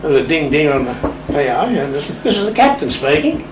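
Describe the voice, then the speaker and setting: A man's voice: on the high side, big pitch swings, a little fast. An older Australian man telling a casual anecdote as an interview guest, in a wry, playful, understated way. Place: radio shack room. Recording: roomy, distant mic, clear background noise.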